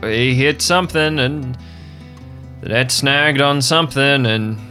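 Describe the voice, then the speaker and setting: A man voicing a gruff, tipsy, despairing fishing boat captain in a tabletop game, rambling blearily. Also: medium pitch, slow, sharp tone, faint music behind, voice pushed up. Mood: rambling, dazed.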